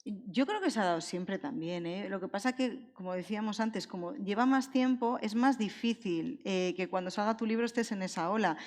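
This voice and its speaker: A woman's voice: medium pitch, normal speed. A woman with an accent from Spain speaking informally as an author on a live literary panel, thinking aloud and seeking agreement. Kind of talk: conversational live panel talk. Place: indoor public event hall. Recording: echoey hall, long reverb.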